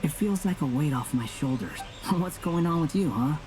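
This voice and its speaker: A man's voice: fairly high pitch, lively intonation, moderate pace, lightly acted, poor audio. A man playing an aloof, sardonic young wandering scholar with a cool, condescending manner in a video game. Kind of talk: video game character dialogue.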